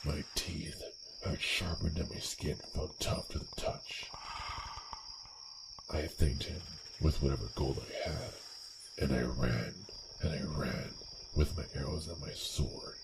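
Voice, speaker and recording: An extremely low-voiced, vengeful male assassin, as a man acts him out in a fantasy ASMR roleplay. He speaks slowly and intensely, with brooding pauses and phrases that sink toward a whisper. Loud cricket and insect ambience runs under the voice. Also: very noisy, exaggerated voice. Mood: grim, focused. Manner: brooding, intense, halting delivery with breathy near-whispered phrases. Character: vengeful male dragon assassin, fiery and hate-driven. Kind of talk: dramatic first-person roleplay monologue, ASMR.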